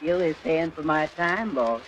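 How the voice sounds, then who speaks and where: An actor playing a slow, literal-minded and polite young hired helper in a 1930s radio comedy. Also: male voice, fairly high pitch, a bit bright, rough recording. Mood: innocent, deferential. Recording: hissy old 1930s radio broadcast.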